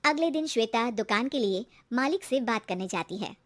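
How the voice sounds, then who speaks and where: A woman's voice: fairly high pitch, expressive, normal speed. A woman reading scripted narration for a cartoon moral story.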